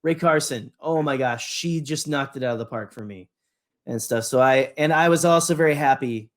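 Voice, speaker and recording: A man's voice: medium pitch, moderate pace. A man co-hosting a podcast in a casual fan discussion, rambling enthusiastically, often trailing off and repeating his words. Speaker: podcast co-host.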